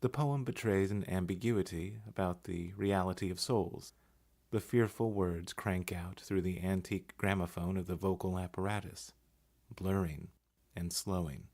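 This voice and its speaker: A man voice-over artist giving a measured, unhurried, hushed reading of essay and poetry. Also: deep voice. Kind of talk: scripted narration of essay and poetry.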